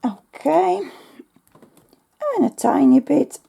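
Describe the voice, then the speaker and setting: A woman with a talks her way slowly through a crafting tutorial, pausing often with ums. Her voice sits a little high but sounds mellow and soft, slightly worn as if she is losing it. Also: expressive, gravelly. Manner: chatty, talks to herself while working, frequent ums. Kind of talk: casual step-by-step craft tutorial.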